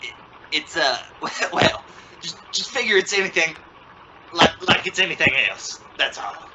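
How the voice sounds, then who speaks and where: A man acts an exasperated suburban father in old radio comedy style. He stammers and blusters in a highish, very animated voice. Heavy noise sits on the recording. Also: a little slow. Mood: sheepish. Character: flustered, exasperated, good-natured suburban father and husband. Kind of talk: old-time radio sitcom acting. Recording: very noisy radio-play recording.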